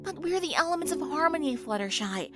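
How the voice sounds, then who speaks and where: Casting: woman voicing worried young mare for audio storybook, high and very animated, music beneath. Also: fairly quick. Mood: confused, pleading. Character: concerned, questioning young female pony, caring friend. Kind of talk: dramatized fan fiction audiobook. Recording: music under the voice.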